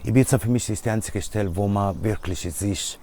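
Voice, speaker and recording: Low-voiced Italian man, halting German. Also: mellow. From Italy. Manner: halting, searching for words in German. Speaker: DJ and music producer. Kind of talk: casual interview answers.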